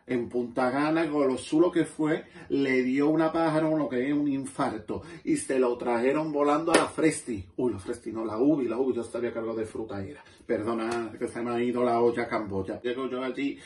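A man's voice: medium pitch, brisk, hardly any pauses, echoey room, recorded from a distance, background noise, voice pushed down, natural voice. A man playing a chatty, scatterbrained middle-aged housewife who rambles and loses her thread. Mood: gossipy. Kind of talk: stand-up character comedy.

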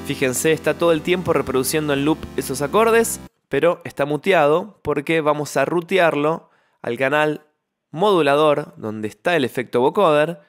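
A man's voice: a little high, moderate pace, soft background music. A man presenting an instructional tutorial in voice-over, explaining step by step into a close microphone. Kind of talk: instructional software tutorial narration.

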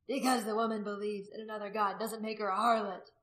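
A woman voicing a hostile male pagan warrior in a story, declaiming forcefully as in a heated argument. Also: medium pitch, level tone, fast, natural voice. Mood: defiant.

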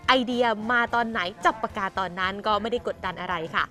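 A woman's voice: mid-range voice, quick pace, pushed and bright, faint music behind. A woman entertainment news presenter narrating a scripted feature in a chatty, polite manner. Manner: chatty, frequent polite particles.

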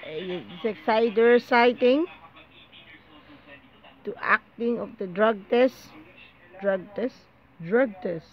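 A person presenting a casual home unboxing video in a halting, disjointed way, often repeating words. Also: mid-range voice, lo-fi sound. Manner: halting, disjointed, repetitive delivery. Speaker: amateur video maker showing gadgets.

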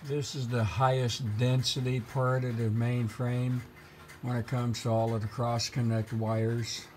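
A man's voice: mid-range voice, level tone, measured pace, darkish tone, a little hiss or hum. A man narrating an explanatory demonstration in a methodical, matter-of-fact, technical manner. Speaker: hobbyist presenter.

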